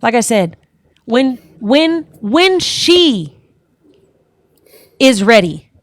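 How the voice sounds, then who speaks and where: A woman hosting a live online panel, loud and forceful, swearing often and repeating herself. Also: fairly high pitch, very animated, drawn-out words, dry recording. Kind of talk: heated live-stream talk.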